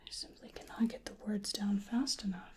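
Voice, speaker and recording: A woman whispering softly and breathily in an ASMR roleplay as a polite, curious researcher doing a gentle exam. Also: medium pitch, steady tone. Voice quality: breathy whisper and soft speech. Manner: gentle, hesitant, polite, unhurried.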